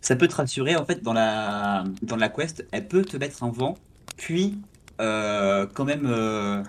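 A man with an ordinary mid-range voice co-hosts a gaming podcast. He talks in halting bursts with frequent restarts and keeps the discussion moving toward the next topic. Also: mid-range voice, expressive. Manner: hesitant restarts, steers the discussion. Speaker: podcast co-host. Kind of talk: casual chat.

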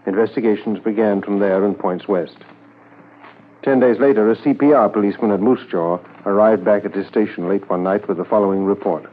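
Male actor as Canadian Mountie narrator, low register, sober and factual, 1950s radio drama, thin phone-like sound. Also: fairly even intonation, lightly acted. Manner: measured, matter-of-fact. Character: sober Mountie inspector narrating a manhunt case. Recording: tinny 1950s radio transcription, faint music.

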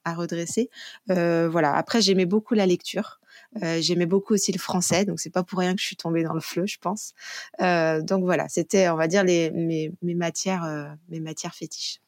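Lower-pitched woman, hesitant interview guest, dry sound. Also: no room sound. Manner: hesitant, searching for words, self-correcting. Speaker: interview guest, online French and Turkish teacher. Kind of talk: reflective podcast interview answers, casual.

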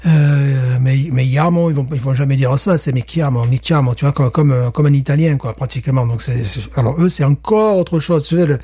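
Animated French man, mellow tone. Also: medium pitch, a little fast, few pauses, breathy edge. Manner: animated, many restarts, acts out little scenes. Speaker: podcast guest, teacher. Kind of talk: casual chat.

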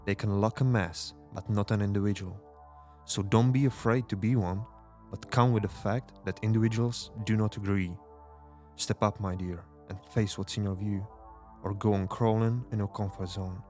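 A man's voice: lowish voice, fairly even intonation, moderate pace, darkish tone, soft background music, lightly acted. A man playing an earnest rebel radio host in a dystopian drama, speaking solemnly and rallying the listener in a scripted, intimate address. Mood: solemn, defiant.

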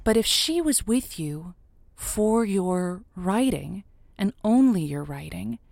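A woman's voice: medium pitch, sing-song, slow pace, vocal fry, darkish tone, no room sound, studio quality, heavily acted. A woman playing an effusive, theatrical host of a call-in romance advice show in an audio drama. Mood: coaxing. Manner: gushing, theatrical, encouraging.

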